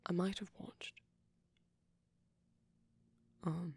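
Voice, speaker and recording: A man voicing a shy, gentle, nervous vampire boyfriend in an intimate ASMR roleplay, speaking softly and often whispering, hesitant and rambling, close to the microphone. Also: sits high, level tone, normal speed, no echo, full character voice. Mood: anxious, earnest. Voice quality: soft, breathy, often whispering.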